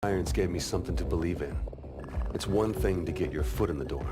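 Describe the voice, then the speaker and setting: Male actor for young soldier narrator in a war game: earnest, low register, loud music underneath. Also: brisk, close to an everyday voice. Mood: reflective. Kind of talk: scripted narration and dialogue.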